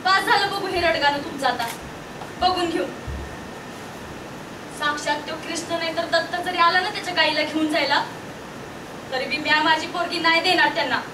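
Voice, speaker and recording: A woman's voice: very high voice, moderate pace, pauses often, noisy background. A woman acting as a fierce, devoted village woman in a stage drama, speaking emphatically with a rural Marathi accent. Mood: defiant, protective. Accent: rural Maharashtrian Marathi. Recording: echoey room from far off, music behind.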